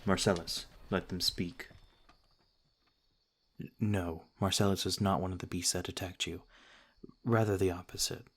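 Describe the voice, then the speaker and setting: Noble vampire lord, played by a male actor, ASMR roleplay. Low voice, little inflection, polite, careful, measured. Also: flat delivery, husky. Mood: calm, guarded courtesy. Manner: formal, gentle, measured.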